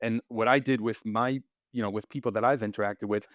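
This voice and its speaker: Low-voiced man, rambling with restarts. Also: fairly even intonation, fairly quick, no room sound, studio quality. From Israel. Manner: rambling, frequent restarts and repeated words. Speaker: podcast guest, surgeon. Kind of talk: casual interview conversation.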